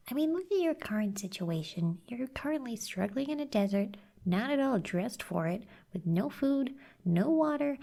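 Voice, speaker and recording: A woman acts out a young cactus girl in an ASMR roleplay, teasing and blunt with a caring core. Her voice is mellow and husky with plenty of breath in it. She speaks at an unhurried pace, gently encouraging the listener. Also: mid-range voice. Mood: teasing, indignant.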